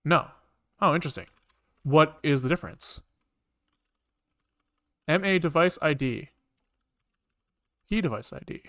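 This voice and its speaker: A man's voice: fairly high pitch, animated, measured pace, pauses often, a bit bright, no echo. A man thinking aloud as he programs on a livestream, muttering code in fragments and swearing casually, close to a desk microphone.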